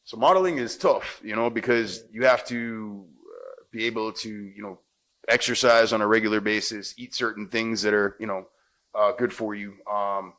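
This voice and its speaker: A man with a Jamaican accent and a deep, smooth voice, an actor and radio host chatting casually in an interview with many "you know"s. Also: a bit bright, rough recording.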